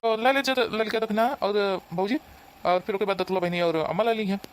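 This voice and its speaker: An actor voicing an exasperated village man in a comedy cartoon, hurried and placating, with an Awadhi accent. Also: mid-range voice, clear, resonant tone, close to an everyday voice, low-quality recording.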